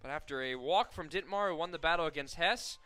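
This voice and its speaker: A young man calling softball play-by-play on the radio, steady and full of stats, rising sharply on big plays. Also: fairly high pitch, brisk. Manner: steady, fact-filled call that rises sharply on big plays. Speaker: play-by-play announcer.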